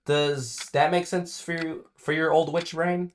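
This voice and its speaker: A man's voice: on the high side, flat delivery, measured pace, a little hiss or hum, lightly acted. A man playing a cheeky, teasing cartoon fox with a casual, bouncy manner in a radio drama.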